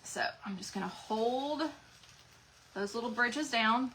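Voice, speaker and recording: A woman's voice: fairly high pitch, slow, sharp tone, a little hiss or hum, poor audio. A woman, chatting folksily with viewers while demonstrating crafts live from home. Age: 40s. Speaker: craft channel host.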